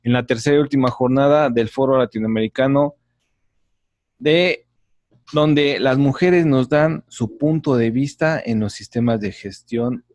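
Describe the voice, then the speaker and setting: A man's voice: mid-range voice, a little mellow, no echo. Male host introducing a speaker; friendly and slightly stumbling, dry audio. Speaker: forum host. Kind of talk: event introduction.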